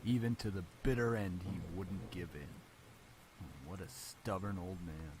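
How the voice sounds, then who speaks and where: A man's voice: sits low, measured pace, a little mellow. Man acting a stern, bitter father over background noise. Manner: stern, disdainful. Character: cold, bitter businessman father of a teenage girl. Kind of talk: visual novel voice acting.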